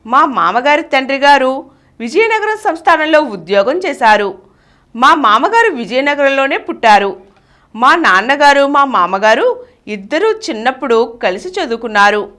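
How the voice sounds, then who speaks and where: A woman reads a written memoir aloud in the first person. Her voice sits high and moves through a wide, animated range of pitch. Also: moderate pace. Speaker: reader of a memoir.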